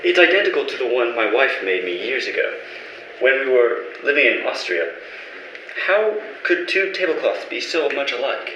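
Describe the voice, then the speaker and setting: A man playing a lonely, grieving husband separated from his wife by war, earnest and halting, in an amateur radio play. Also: mid-range voice, very animated, bright, forceful tone, dull audio, slight background noise. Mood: puzzled. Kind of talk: amateur old-time radio play acting. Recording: echoey, distant mic, faint music.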